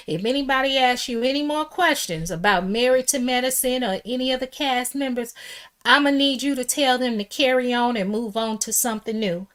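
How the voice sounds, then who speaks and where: A middle-aged woman talks pop culture in a sassy, casual monologue. Her voice is loud and strong and sits a little high. She drives each point home with emphatic asides and keeps calling the listener "baby.".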